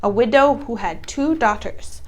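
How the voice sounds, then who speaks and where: A woman's voice: a little high, unhurried. Woman, children's English teacher, storybook read-aloud, simple explanations, frequent questions to listeners.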